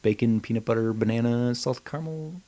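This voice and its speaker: A man co-hosting a podcast in casual, comedic chat, joking and mildly profane in an animated way. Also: mid-range voice, brisk. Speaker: podcast co-host.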